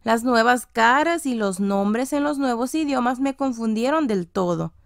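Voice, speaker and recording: Female children's audiobook narrator: fairly high, creaky-edged voice, expressive phrasing, very dry recording. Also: a little slow, no echo. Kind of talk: scripted children's story narration, first person as a child.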